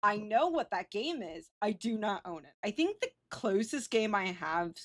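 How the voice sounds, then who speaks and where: A woman's voice: mid-range voice, sing-song, normal speed. Casual, sweary woman livestreamer chatting. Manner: sweary, self-teasing, slangy. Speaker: live streamer. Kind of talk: casual livestream chat with viewers.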